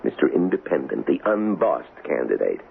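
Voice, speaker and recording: On a dull, hissy old radio recording, a cynical political kingmaker talks in a very low, gravelly male voice. He is glib and wry, pushing his pitch with big swoops of intonation. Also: very animated, moderate pace, heavily acted. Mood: rousing, persuasive. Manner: glib, wheedling salesman's patter, wry. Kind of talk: old-time radio drama acting. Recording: muffled, noisy old radio broadcast.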